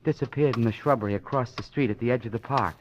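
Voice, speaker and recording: An actor playing a nervous, frightened, fatalistic city employee in an old-time radio crime drama, agitated and halting. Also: male voice, mid-range voice, lightly acted. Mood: terrified. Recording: noisy vintage radio recording with clicks.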